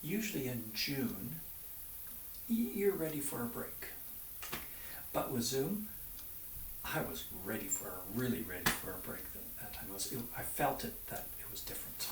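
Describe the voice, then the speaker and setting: A man who teaches violin, talking in a documentary interview, lively and acting out his own past remarks, with frequent restarts. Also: mid-range voice, moderate pace, background noise.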